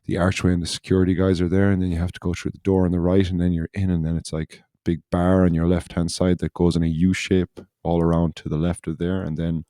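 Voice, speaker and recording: A man's voice: low voice, little pitch movement, fast talker, hardly any pauses, mellow, no room sound, clean studio sound. A man hosting a podcast, telling a loose, rambling story in casual chat. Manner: rambling, loose storytelling that circles back on itself. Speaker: podcast host.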